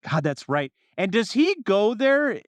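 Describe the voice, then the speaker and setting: A man hosting a casual film podcast, chatting in an animated, excitable way. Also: high-pitched, a little slow, dry recording, studio quality. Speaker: podcast host.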